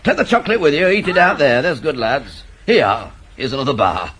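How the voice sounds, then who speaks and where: A man's voice: on the high side, animated, fairly quick, a bit bright, slight background noise. An actor playing a wily small-time crook, a British working-class man with a chatty, wheedling manner who repeats himself, in radio drama style. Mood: indulgent, coaxing.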